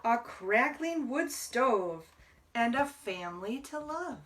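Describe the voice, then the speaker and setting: A woman reading a picture book aloud to young children as a library storyteller, deliberately, pausing to explain words. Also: on the high side, very animated, distant mic.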